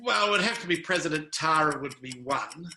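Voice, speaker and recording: Bright-voiced New Zealand man, dry answers. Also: a little high, lively intonation, brisk, a little hiss or hum. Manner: dry, short good-humoured answers with hesitant fillers. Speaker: interview guest, travel sales manager. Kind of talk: casual interview answers.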